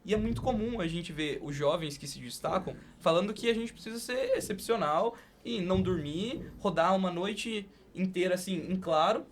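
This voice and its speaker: A man's voice: sits high, moderate pace, few pauses, slight background noise. A man hosting a casual podcast interview, talking informally and with emphasis.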